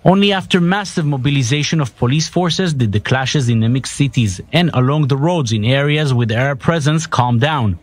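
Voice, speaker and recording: A man's voice: mid-range voice, normal speed, few pauses. A man reading a scripted news report as a narrator.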